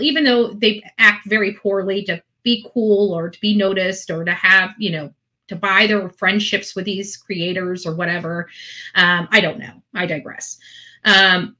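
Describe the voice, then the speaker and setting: A woman's voice: mid-range voice, bright, forceful tone. A woman host talking casually and ramblingly to her online followers, earnest and emphatic, often repeating phrases for effect. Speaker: online video channel host.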